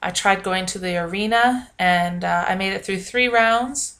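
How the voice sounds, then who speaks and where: A young adult woman talking casually to camera, rambling and self-mocking with many fillers, with a naturally loud, projecting voice. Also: mid-range voice, a little slow. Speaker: vlogger. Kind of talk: casual vlog chat.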